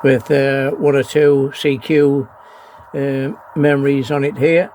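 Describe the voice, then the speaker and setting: A man gives a casual, unscripted show-and-tell in a halting, hesitant way, often pausing mid-sentence. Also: medium pitch, flat delivery, a little mellow. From New Zealand. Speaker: amateur radio operator, presenter. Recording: noisy amateur home video.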